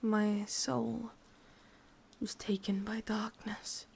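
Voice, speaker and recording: A woman with an English accent voicing an ancient, lonely, sadistic witch in a breathy half-whispered ASMR roleplay, speaking slowly with drawn-out pauses. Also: medium pitch, little pitch movement, darkish tone, slight background noise. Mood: wistful. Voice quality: breathy, often slipping into whisper. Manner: slow, halting, drawn-out delivery with pauses.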